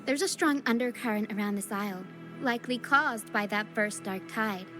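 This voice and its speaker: A woman playing a caring, solemn young woman in a video game, speaking in a measured and earnest way. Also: medium pitch, lightly acted. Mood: solemn, explanatory. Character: caring young woman guiding through a ghostly mist, solemn and gentle. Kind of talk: scripted video game acting. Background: game music.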